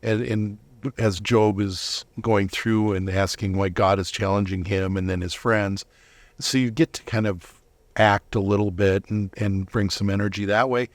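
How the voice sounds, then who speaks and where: A man's voice: lowish voice, fairly even intonation, a little slow, no echo. A man giving earnest, explanatory answers as a guest in a conversational interview. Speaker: interview guest, volunteer Bible reader.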